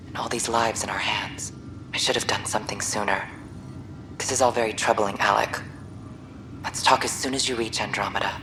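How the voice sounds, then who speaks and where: Uneasy expedition leader, noisy log. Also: female voice, a bass voice. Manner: uneasy, serious. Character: troubled female expedition founder leaving a message. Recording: noisy recorded log message.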